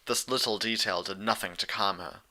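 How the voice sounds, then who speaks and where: A man's voice: on the low side, normal speed, sharp tone. A man reading a story aloud as a scripted audiobook narrator.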